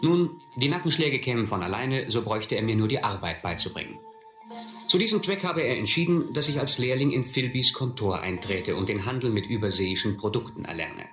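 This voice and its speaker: A middle-aged actor playing a reflective man who recalls his boyhood, narrating a radio play in measured, literary style. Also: medium pitch, expressive, sharp tone, soft background music. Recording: echoey old recording, faint noise.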